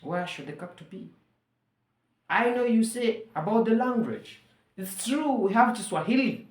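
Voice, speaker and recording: A woman's voice: a little high, lively intonation, normal speed. Ugandan lady, luganda first language, rallying talk, repeats herself for emphasis, roomy echo. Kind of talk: persuasive monologue to camera.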